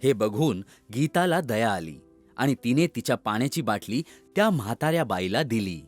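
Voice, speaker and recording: A man narrating a scripted children's story as an expressive storyteller. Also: mid-range voice, normal speed, faint music behind.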